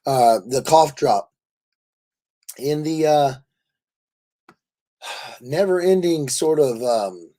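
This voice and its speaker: A man with a raspy voice worn from a lot of talking, chatting casually and effusively with live viewers as host, in a jokey, rambling way. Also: on the high side, lively intonation, very slow, pauses often, mellow. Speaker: livestream host.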